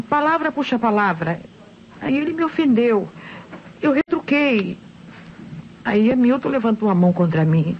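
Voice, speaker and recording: An actress with a Brazilian accent playing a distraught wife confessing to a killing, halting and pleading in old radio drama style. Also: female voice, mid-range voice, close to an everyday voice. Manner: halting, pleading, repeats words when faltering. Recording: dull, noisy old radio recording.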